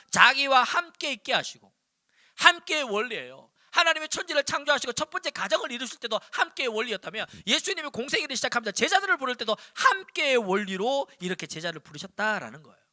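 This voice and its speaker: A youth pastor in his forties, a man from Daegu, preaches with animated storytelling and punchy repetition, in Seoul Korean with slight Daegu traces. His voice sits very high and sounds bright and forceful, and it swings widely as he talks at a fast clip. The recording is close and dry. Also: no room sound. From Daegu, South Korea. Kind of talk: sermon to high schoolers. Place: church.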